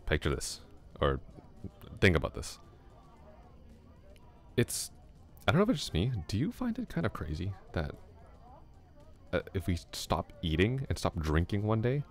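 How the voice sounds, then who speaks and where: A man voicing a chatty young male classmate in an ASMR roleplay, musing aloud in a soft, rambling way with small chuckles. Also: very deep voice, heavily acted.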